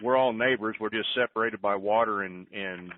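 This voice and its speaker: Male caller, folksy and halting, lowish pitch, sounding far off on a narrow phone line. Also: slight background noise. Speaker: conference call participant. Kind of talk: casual opinion-giving. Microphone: telephone. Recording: distant, muffled tinny phone line.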